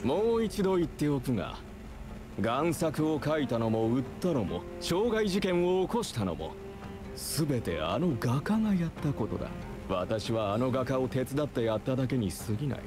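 A man's voice: medium pitch, very animated, normal speed, close to an everyday voice. An actor voicing a smug, calculating middle-aged businessman villain in an anime-style game, pompous and condescending. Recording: game music behind.

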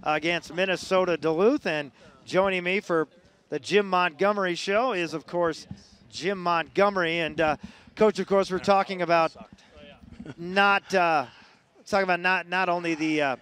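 A man hosting a post-game radio interview, asking long, halting questions. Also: high-pitched, fairly even intonation, a bit bright. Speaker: sports radio host.

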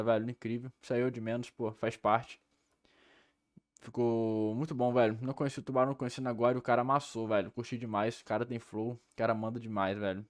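A man's voice: mid-range voice, no room sound. Young Brazilian guy, dry close sound. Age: young adult. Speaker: reaction video host. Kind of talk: casual slangy commentary. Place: small room indoors. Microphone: headset mic.

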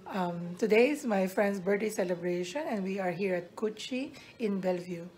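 A woman's voice: mid-range voice, moderate pace, a little mellow, a little hiss or hum, rough recording. A woman filming a casual restaurant vlog on a handheld phone, chatty and laughing often. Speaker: vlogger. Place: busy restaurant indoors. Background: crowd chatter.

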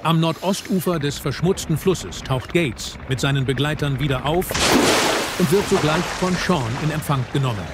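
A man's voice: a little high, normal speed, heavy background noise. A man reading scripted narration for an audio version of a film. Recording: voice-over on loud film soundtrack.

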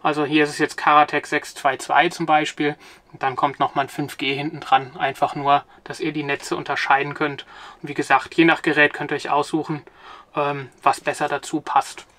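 A young man in his twenties talks through a product while seated inside a parked camper van, filmed at medium close range. His voice is bright and forward, set a little high, and the sound is dry and close. He hesitates often, filling gaps with ums and starting sentences over. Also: flat delivery, moderate pace, no echo. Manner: hesitant, many filler sounds and restarts. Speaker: company product presenter. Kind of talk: explaining a product to camera.

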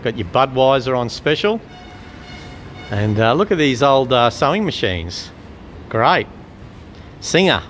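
A man giving casual, unhurried walk-around commentary as a travel vlogger, chatting about what he observes. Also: mid-range voice, very animated, fairly bright tone. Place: walking around an open-air shopping mall. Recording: outdoor urban and traffic noise.

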